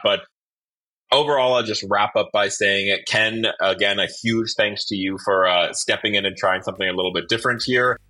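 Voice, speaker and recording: A man's voice: low-pitched, pushed and bright, low-quality recording. A man hosting a podcast in a casual, conversational interview style. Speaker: podcast host. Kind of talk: casual interview chat and sign-off.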